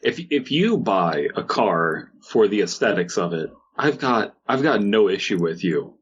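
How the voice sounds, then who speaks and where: Low, husky man on a dull mic. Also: sing-song, a little slow. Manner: sarcastic, profane. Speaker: podcast co-host. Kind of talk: casual comedic podcast banter. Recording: dull, lo-fi microphone sound.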